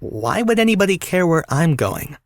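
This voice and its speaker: Casting: man as young reluctant hero for audio drama, animated, sardonic, fed up, recorded close and dry. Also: on the high side, moderate pace, no echo. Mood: weary, sarcastic. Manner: sarcastic, exasperated. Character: exasperated, sarcastic, reluctant young male hero. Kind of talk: fan audio drama acting.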